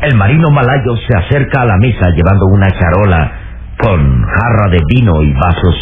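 A man playing the solemn narrator of a suspense radio serial, measured and dramatic. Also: lowish voice, expressive, a little hiss or hum, lightly acted. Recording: tinny old radio recording, loud music.

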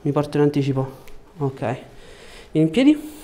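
A man in his thirties speaking as a chiropractor, giving short, gentle, reassuring instructions to a patient in a calm clinical way. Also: a little high, normal speed. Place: indoor chiropractic office. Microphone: lapel mic. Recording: faint background room noise.

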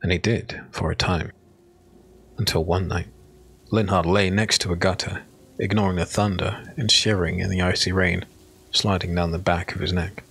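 A man giving solemn, measured, dramatic narration of a dark fantasy audiobook. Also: low-pitched, fairly even intonation, gravelly, breathy edge. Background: music.

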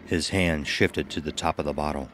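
A man narrating a scripted horror story in a deliberate, dramatic, measured style. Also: rumbling low, steady tone, fairly bright tone. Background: music under the voice.

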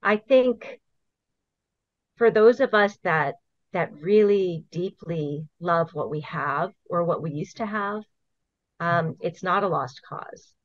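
A woman's voice: fairly low pitch, slow pace, no echo. A middle-aged woman from Hawaii, a program manager being interviewed, explaining things earnestly and step by step with the odd laugh. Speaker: invasive species program manager, guest. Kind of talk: casual interview answers. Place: home video call. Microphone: video call. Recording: dull, dry video call audio.

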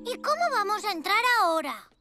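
A performer voicing an eager, stubborn little superhero boy in a cartoon, excitable and pleading. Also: very high-pitched, a little fast, heavily acted. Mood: worried, eager. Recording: music behind.

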